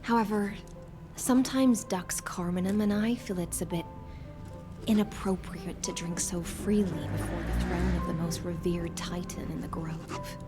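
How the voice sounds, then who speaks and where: A woman's voice: on the low side, steady tone, normal speed, music in the background, lightly acted. A woman voicing a composed, formal and courteous young woman in a video game. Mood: composed, politely teasing. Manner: formal, polite.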